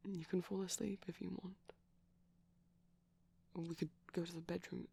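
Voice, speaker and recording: Gentle, bashful vampire boyfriend voiced by a man for intimate ASMR audio; breathy, creaky, halting, half-whispered delivery. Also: sits high, little pitch movement, heavily acted. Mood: bashful, tender. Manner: hesitant, soft-spoken, often slipping into whispers. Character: shy, gentle pastel vampire boyfriend, soft and sweet. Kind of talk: ASMR roleplay, soft intimate rambling.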